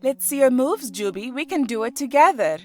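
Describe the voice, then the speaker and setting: A woman voicing a confident young female dancer, a playful and gently bossy elder sister in a storybook. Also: high voice, expressive, normal speed, faint music behind, pitched above the performer's own voice. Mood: coaxing.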